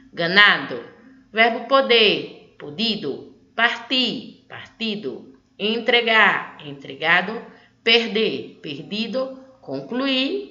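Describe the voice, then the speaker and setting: Brazilian woman, bright forceful voice, didactic teacher explaining grammar, echoey slightly dull recording. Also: mid-range voice. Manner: didactic, checks understanding with short tag questions. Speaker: Spanish teacher. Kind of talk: online grammar lesson explanation.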